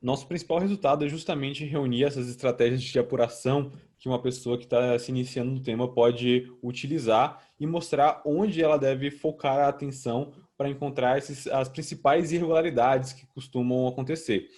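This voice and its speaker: A man's voice: mid-range voice, steady tone, fairly quick, few pauses, darkish tone. Young brazilian guy doing a student presentation, normal mid voice, explains things in a chatty teacherly way. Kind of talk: semi-formal project presentation.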